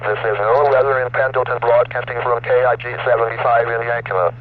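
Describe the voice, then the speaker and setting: A man's voice: medium pitch, fairly even intonation, a little fast. A synthetic American male announcer reads a weather forecast over a radio. The sound is thin and narrow like a phone line, picked up at a distance with faint hum. The delivery is formal and impersonal, broken into neat segments. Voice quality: synthetic computer-generated voice. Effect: radio broadcast. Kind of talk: scripted weather forecast bulletin. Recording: tinny band-limited weather radio, distant, humming noise.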